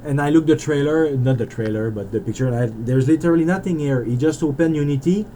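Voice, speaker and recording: A man's voice: on the high side, quick pace, mellow, a little hiss or hum, poor audio. A man with a French Canadian accent, a French native speaker, rambling through a casual game developer vlog and restarting his sentences often. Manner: rambling and self-interrupting, with frequent restarts.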